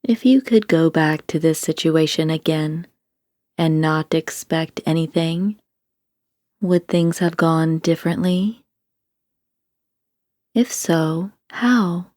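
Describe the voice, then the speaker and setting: A woman narrating a scripted guided meditation in a calm, soothing, deliberate way, giving gentle instructions. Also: sits low, no echo, clean studio sound.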